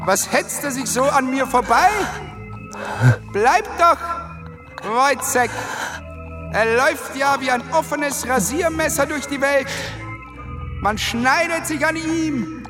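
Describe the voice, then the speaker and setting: A man playing a pompous, jittery army captain in a radio play, mocking in a drawn-out declamation. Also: very high-pitched, over music. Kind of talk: theatrical German radio drama acting.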